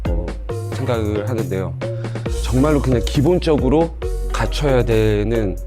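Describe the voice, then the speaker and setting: A male choreographer answering interview questions, hesitant and pausing on drawn-out fillers. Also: medium pitch, animated. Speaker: performance director and choreographer. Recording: music playing underneath.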